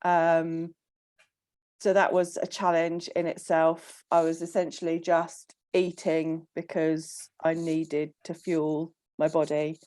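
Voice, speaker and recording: A woman on a casual coaching call, croaky from illness, chatting with frequent ums and laughs. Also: low-pitched, little pitch movement, takes it slowly, a little mellow, no room sound. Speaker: coaching client.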